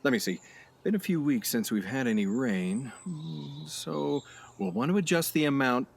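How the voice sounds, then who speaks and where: A man's voice: medium pitch, moderate pace, creaky, slight background noise, close to an everyday voice. An actor playing an overconfident drifter who talks his way into things in a community radio play. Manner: breezy, self-assured, salesman-like. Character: brash overconfident male drifter, smooth talker.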